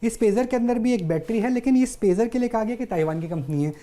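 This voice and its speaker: A woman's voice: a little high, animated, fast talker, dark tone. A woman interviewing on the news, explaining and asking questions off the cuff in a wordy, repetitive way. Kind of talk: unscripted on-air questioning and explaining.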